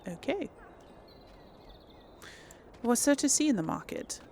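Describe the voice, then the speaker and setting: Female let's-play host, unhurried, lively pitch, casual and musing aloud. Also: gravelly, a little hiss or hum. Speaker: gaming video host. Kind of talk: casual role-play game commentary.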